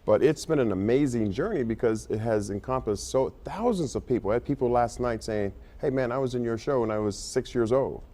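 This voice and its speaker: A man's voice: medium pitch, fairly quick, few pauses, dark tone. An American man from Detroit, a playwright and director, telling his story earnestly in a conversational TV interview, feeling his way into sentences. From Detroit, United States.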